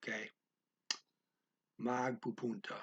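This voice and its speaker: A man's voice: medium pitch, level tone, slow pace, slightly rough, highs cut off. A man in his sixties, in a small room at home, filmed by a webcam at his desk, explains grammar in a casual lesson, repeating key words and checking in with "okay" and "right.". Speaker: language teacher.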